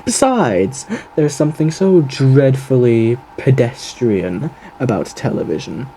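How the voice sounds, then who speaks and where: Suave gentleman radio demon, swooping intonation, mellow dark tone, theatrical, flirtatious, faintly menacing, audio roleplay. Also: mid-range voice, big pitch swings, a little slow, a little hiss or hum. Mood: playful, scornful. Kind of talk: theatrical ASMR character roleplay monologue.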